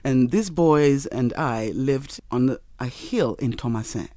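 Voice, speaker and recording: A man's voice: medium pitch, dark tone, dry recording. Earnest man, dry close sound. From Nigeria. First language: Yoruba. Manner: earnest, measured storyteller. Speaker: interview guest. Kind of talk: personal storytelling in an interview.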